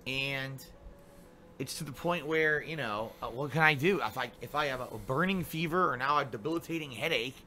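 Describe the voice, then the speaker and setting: A man's voice: mid-range voice, moderate pace, pushed and bright, slight background noise. A middle-aged man streaming games live, rambling and complaining in a casual monologue full of fillers. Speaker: game streamer.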